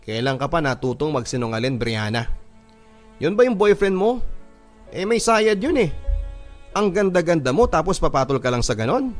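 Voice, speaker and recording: A man voicing an angry mother who scolds her teenage daughter with sharp reproach in a radio drama. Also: a little high, big pitch swings, normal speed, long pauses, faint music behind, pitched above the performer's own voice. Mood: angry, scolding.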